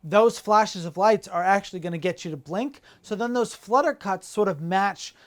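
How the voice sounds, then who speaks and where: Podcast host breaking down movie trailers, mid-pitched voice, playful and teasing, casual banter. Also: normal speed.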